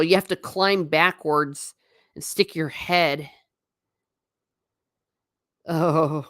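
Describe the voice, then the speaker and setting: A woman's voice: deep voice, no echo, studio quality. A middle-aged woman chatting on a stream about travel photos, rambling and self-deprecating. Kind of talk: casual stream commentary.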